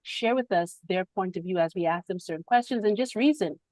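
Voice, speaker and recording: A woman's voice: mid-range voice, fairly quick, a little mellow, no echo. A woman hosting a spiritual podcast on a video call, speaking reflectively and laughing often between thoughts. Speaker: podcast host.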